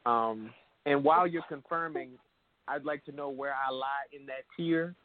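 A man's voice: mid-range voice, normal speed. A man co-hosting a casual podcast, teasing his co-hosts in playful banter. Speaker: co-host. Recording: tinny phone-line sound.

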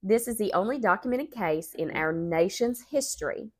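A woman, co-hosting a podcast, nasal and congested from a sinus infection, chatty and quick to laugh. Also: medium pitch, expressive. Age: 30s. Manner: chatty, laughs often, says y'all. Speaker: podcast co-host. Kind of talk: casual chat and storytelling.

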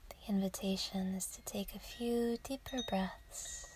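A woman guiding a yoga nidra meditation in a soft, breathy near-whisper, gentle and soothing, with unhurried pauses. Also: medium pitch, fairly even intonation, poor audio. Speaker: meditation guide.

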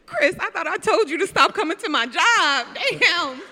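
A woman's voice: very high-pitched, expressive, moderate pace, sharp tone, heavily acted. A woman improvising an exasperated, sassy heartbreaker waitress in a comedy parody of a radio play. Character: exasperated, sassy young-love heartbreaker waitress juggling suitors. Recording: reverberant hall sound.

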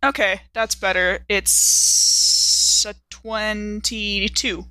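A woman's voice: mid-range voice, steady tone, drawn-out words, background noise. A woman playing in a tabletop game, bantering casually with the other people at the table.

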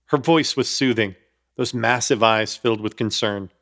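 A man's voice: mid-range voice, moderate pace, a bit bright. A man narrating a horror comedy radio play in the first person, wry and storytelling.

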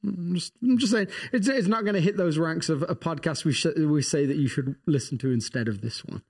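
Wry man co-hosting a podcast, higher voice. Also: brisk, darkish tone, husky. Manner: wry, self-deprecating, rambling. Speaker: podcast co-host. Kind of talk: casual chat.

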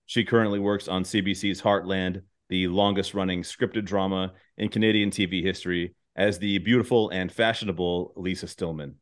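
A man's voice: low-pitched, fairly even intonation, moderate pace, few pauses. A man hosting an interview podcast, moving between scripted introductions and casual, self-deprecating chat. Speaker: podcast host interviewing guests.

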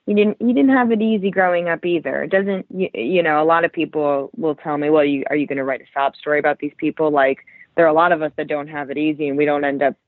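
A woman's voice: sits low, quick pace, dry recording. A woman author and journalist in her thirties, originally from Ohio, talking through her book in a thoughtful way, often restarting her sentences. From Ohio, Cleveland area. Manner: thoughtful, many restarts and fillers. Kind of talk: conversational interview. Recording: dry tinny phone-line sound.

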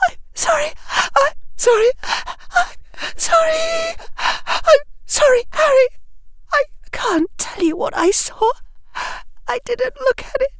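A man's voice: very high-pitched, slow pace, a bit bright, dry recording, voice pushed up, full character voice. A man voicing a terrified young schoolgirl in an audiobook, frantic, gasping and pleading. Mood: sobbing, apologetic.

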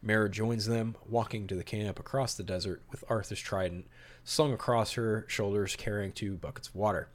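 Male podcast host, lower register, emphatic and opinionated, switching between narration and casual commentary. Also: fairly even intonation, moderate pace. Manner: opinionated, emphatic, mildly profane. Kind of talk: podcast talk mixing read-out storyboard summary and casual commentary.

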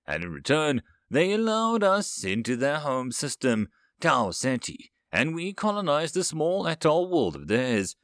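A composed human chancellor in his thirties, voiced by a male narrator in a sci-fi audiobook. He speaks diplomatically with measured phrasing and very varied pitch, recorded dry. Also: huge pitch swings, fairly bright tone, no room sound, exaggerated voice. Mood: composed, pleased.

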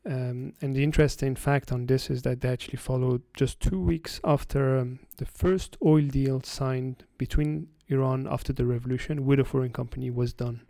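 A man from France, a guest analyst giving explanatory interview answers, halting with frequent restarts. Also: medium pitch, little pitch movement, creaky, warm tone, no echo.

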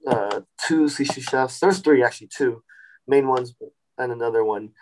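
Man in his forties, chef guest, relaxed storytelling, lots of restarts, mid-pitched voice. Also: normal speed, slight background noise, poor audio. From Sacramento, California. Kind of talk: casual interview.

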